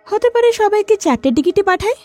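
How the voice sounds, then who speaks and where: A woman voicing an excitable, chatty young wife in an animated story. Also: extremely high pitch, brisk, no echo, soft background music, pitched above the performer's own voice. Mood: excited. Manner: excited, exclamatory. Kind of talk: cartoon story voice acting.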